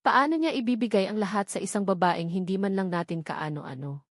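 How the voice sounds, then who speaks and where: A woman's voice: medium pitch, a little fast, dry recording, studio quality, natural voice. A woman voicing a spoiled young heiress in a drama story, furious, snarling and full of contempt. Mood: pure anger.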